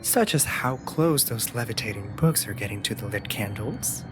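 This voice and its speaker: A man's voice: lowish voice, lively intonation, moderate pace, music in the background, close to an everyday voice. A man voicing a teasing, dramatic raven familiar in a scripted fantasy audio roleplay, with playful, sardonic and theatrically indignant delivery.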